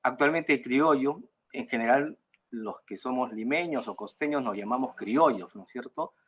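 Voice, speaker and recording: A mature man with a Peruvian accent gives an informal talk in a halting way, often tagging phrases with a question seeking agreement. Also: mid-range voice, breathy edge, dull audio. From Peru. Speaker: guest speaker at a cultural talk. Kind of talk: informal lecture in an online talk.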